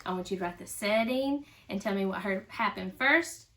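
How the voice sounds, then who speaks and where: A woman who teaches first grade, reading aloud to young children in an expressive, animated storybook manner. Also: mid-range voice, moderate pace, distant mic.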